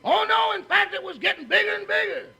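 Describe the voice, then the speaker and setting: A man playing a cheeky chauffeur and valet in a 1950s radio comedy, with a rasping voice and punchy comic replies. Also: big pitch swings, fast, sharp tone, exaggerated voice. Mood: gleeful deadpan. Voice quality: very gravelly, high-pitched rasp. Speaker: comic supporting actor. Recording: noisy 1950s radio recording with clicks.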